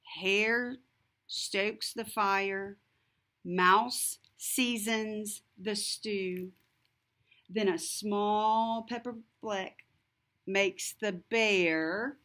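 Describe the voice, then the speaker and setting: A woman reading a rhyming picture book aloud to children, expressive and deliberate, with a sing-song lilt. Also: medium pitch. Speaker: storybook reader. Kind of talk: scripted children's read-aloud narration.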